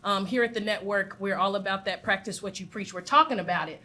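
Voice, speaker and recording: A woman's voice: mid-range voice, fast, bright, forceful tone, slight background noise. A woman facilitating a community meeting on a handheld mic, upbeat and encouraging as she invites people to share. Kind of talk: live meeting hosting. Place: large meeting room with audience.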